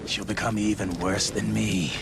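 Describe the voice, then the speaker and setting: A man voicing a menacing, bitter and theatrical crime boss villain in a video game cut-scene, with a gravelly voice. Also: on the low side, flat delivery, moderate pace, noisy background. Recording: background music under the dialogue.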